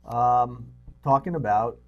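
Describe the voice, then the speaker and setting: A man's voice: medium pitch, takes it slowly, muffled recording, soft background music. A man co-hosting a local cable talk show in casual, teasing banter. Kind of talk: casual banter with giveaway announcements.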